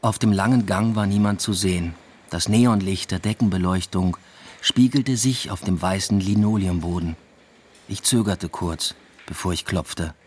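A man playing an introspective, uneasy academic who narrates his own story in a measured, subdued radio drama style. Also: low-pitched, darkish tone, slightly rough. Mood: brooding, reflective. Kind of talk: literary first-person radio drama narration.

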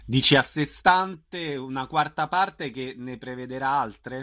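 A man's voice: mid-range voice, measured pace, pushed and bright. A man hosting an interview, asking questions and giving information in a conversational style.

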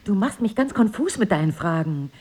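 An actress playing the composed but evasive wife of an amnesiac in an intimate radio drama, insistent and teasing. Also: female voice, medium pitch, very animated, brisk, a little mellow, slightly rough. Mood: flustered.

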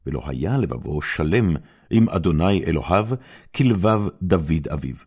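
A man with an Israeli accent reads scripture as a narrator, in a solemn, formal and measured style with short, deliberate phrases. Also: sits low, lively intonation, a little mellow, slightly rough. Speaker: Bible narrator.

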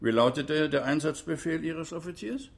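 An actor playing a relentless, accusing prosecutor in a radio drama, pointed and insistent. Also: male voice, fairly high pitch, animated, normal speed, creaky. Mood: probing. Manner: pointed, insistent cross-examining. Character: relentless, accusing male prosecutor at a war crimes trial. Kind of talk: German radio drama acting.

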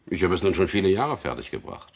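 An actor playing a weary, evasive prisoner in a radio drama, with a husky, gravelly voice that often sinks toward a whisper. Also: male voice, deep voice, very animated, fast, exaggerated voice. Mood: cool, detached. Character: weary, evasive man, interrogated prisoner recalling his past. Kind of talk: radio drama acting with inner monologue.

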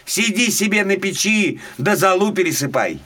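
A man's voice: high voice, expressive, normal speed, sharp tone, pitched above the performer's own voice, full character voice. A man voicing a scornful older peasant brother in a fairy tale, mocking and dismissive.

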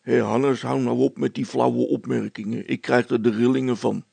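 A man's voice: mid-range voice, flat delivery, moderate pace, gravelly, mellow, slightly rough, no room sound. A man voicing a simple, nervous, agreeable village policeman in a storybook, with a hesitant, plaintive, colloquial way of talking.

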